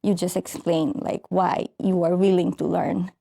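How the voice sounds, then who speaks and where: A young adult woman from Bangladesh, a recent architecture graduate, answering interview questions softly with some hesitant fillers. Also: on the low side, level tone, measured pace, gravelly.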